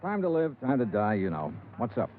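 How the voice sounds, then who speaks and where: An American actor playing a wry, skeptical insurance investigator in 1950s radio noir style, deadpan and clipped. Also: male voice, medium pitch, a little fast, slight background noise, natural voice. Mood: wry, guarded. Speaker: radio detective narrator and lead actor. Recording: tinny, band-limited 1950s radio broadcast.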